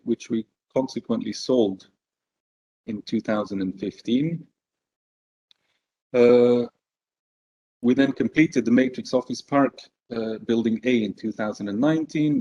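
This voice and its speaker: A man from Belgium gives a conference presentation in a measured way, often pausing on fillers. His voice sits in an ordinary middle range. The recording is somewhat dull and lo-fi. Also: mid-range voice, mellow, slightly rough. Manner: measured, hesitant with many fillers. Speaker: conference speaker. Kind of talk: business presentation.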